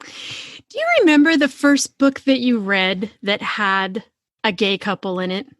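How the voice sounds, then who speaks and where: A woman podcast host talking conversationally about books in an earnest, expressive manner. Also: mid-range voice, measured pace, no echo, clean studio sound. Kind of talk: conversational book discussion.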